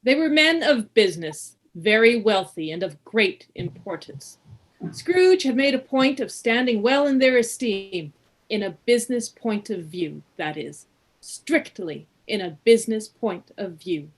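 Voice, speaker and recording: A woman narrating a radio play as a measured storyteller, reading scripted literary prose. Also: medium pitch, expressive, lightly acted, poor audio.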